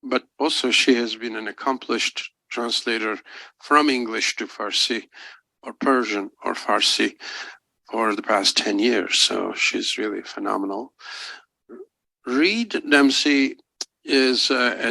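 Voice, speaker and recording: A man introducing the speakers at a university panel, halting and pausing often with "uh". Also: mid-range voice, vocal fry, bright, forceful tone, dry recording, poor audio. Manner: halting, many "uh" pauses. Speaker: event introducer. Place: university symposium event.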